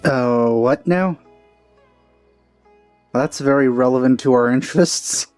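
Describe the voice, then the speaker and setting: A man giving casual, low-key commentary as he plays a game, musing aloud. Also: slow pace, a little mellow. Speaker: gameplay streamer. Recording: game music behind.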